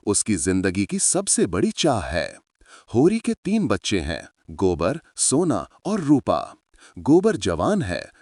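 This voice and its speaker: A man's voice: on the low side, a little mellow, no echo, studio quality. A man narrating a story in a dramatic scripted style, speaking to his listeners as friends.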